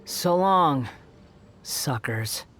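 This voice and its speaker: A man's voice: on the high side, animated, extremely slow, fairly bright tone, faint music behind, full character voice. An actor playing an arrogant, scornful young male villain in an anime-style video game, haughty and theatrical. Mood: impatient.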